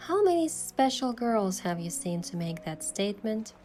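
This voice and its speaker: Female actor as young romance game heroine: medium register, soft storytelling, teasing and affectionate, faint music bed. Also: mid-range voice, very animated, moderate pace, lightly acted. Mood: tender. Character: playful, affectionate young heroine narrating her own romance. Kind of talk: romance game narration and dialogue.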